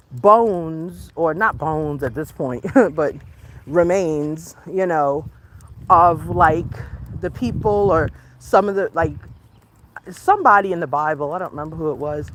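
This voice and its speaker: A woman's voice: on the low side, a bit bright. An American woman hosting a livestream, chatting casually and rambling to her viewers while out on a walk outdoors. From United States. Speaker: livestream host. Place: outdoors on a walk, rural or natural setting.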